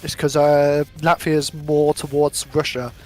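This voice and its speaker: A man's voice: a little high, monotone, a little slow, darkish tone. A man with an English accent giving live, chatty commentary on virtual drift racing, occasionally stumbling over his words. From England. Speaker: esports commentator. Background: music.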